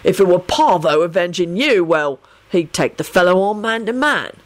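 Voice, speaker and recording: A woman's voice: lowish voice, animated, normal speed, no room sound, lightly acted. A woman voicing a defiant, sweary, earnest young male wizard with a blunt, persuasive way of talking, in an audiobook story.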